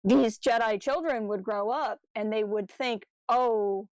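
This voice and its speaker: A woman co-hosting a podcast, talking casually as a fan and telling stories in an animated, slangy, enthusiastic way. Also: fairly high pitch, a little slow, dry recording, rough recording. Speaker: podcast co-host.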